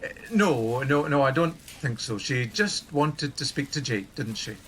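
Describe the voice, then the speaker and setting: A man's voice: medium pitch, animated, measured pace, background noise, natural voice. A middle-aged man playing a wry, inquisitive senior colleague at a helpline in a radio play, with a dry, probing manner. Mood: easygoing, dry. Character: wry, inquisitive older male colleague in charge.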